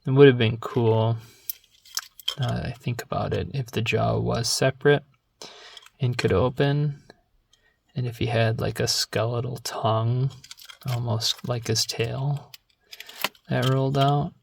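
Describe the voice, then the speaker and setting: A soft-spoken ASMR host talking gently and without hurry, drifting into a whisper, close to the microphone. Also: mid-range voice, fairly even intonation, unhurried, creaky, pauses often, a little hiss or hum. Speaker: ASMR video creator and host.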